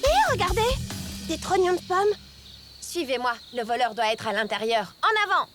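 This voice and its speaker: A woman voicing a bold, bossy teenage team leader in a French animated series dub, commanding and exclamatory. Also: sits high, moderate pace, soft background music. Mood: commanding, eager.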